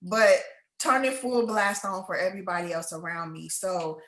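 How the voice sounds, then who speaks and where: A woman hosting a conversation, a writing coach, speaking in a heartfelt, halting way. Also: lowish voice, steady tone, fairly bright tone. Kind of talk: casual conversation. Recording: echoey room.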